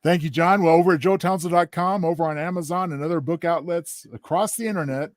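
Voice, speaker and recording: A man's voice: on the high side, fast talker, dry recording, studio quality. A man co-hosting a podcast, chatting casually with courteous, slightly formal turns of phrase. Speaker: podcast co-host. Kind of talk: casual chat.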